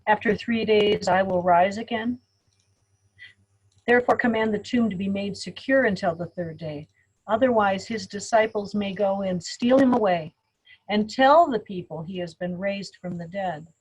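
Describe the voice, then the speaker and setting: A woman's voice: medium pitch, normal speed, breathy edge, rough recording. A woman pastor reading scripture aloud in a dramatic group reading over a video call. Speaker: Lutheran pastor.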